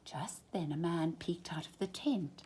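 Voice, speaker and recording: A woman storyteller reading a children's storybook expressively, with deliberate, dramatic emphasis. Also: lowish voice, darkish tone, a little hiss or hum.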